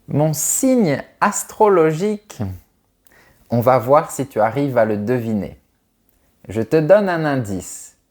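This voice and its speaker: A man in his thirties from France, a French teacher answering viewers' questions in a clear, carefully articulated, friendly way. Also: medium pitch, big pitch swings, slow pace. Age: 30s. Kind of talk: slow, clear video Q&A for learners.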